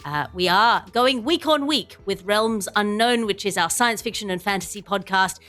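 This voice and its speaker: A woman's voice: medium pitch, animated, normal speed, a bit bright, soft background music. A woman hosting a comedy podcast in a wry, wordy, playful way. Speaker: podcast host.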